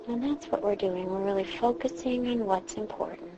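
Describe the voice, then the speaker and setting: A woman leading a guided meditation in a soothing, reassuring manner, with drawn-out pauses between phrases. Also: medium pitch. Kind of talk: guided meditation, instructing a listener. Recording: clearly noisy background ambience.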